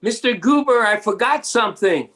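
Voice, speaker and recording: A performer playing a kindly, lonely, mild-mannered man in a readers theatre children's story, with a husky, hesitant delivery. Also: mid-range voice, animated, moderate pace, a bit bright. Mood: concerned.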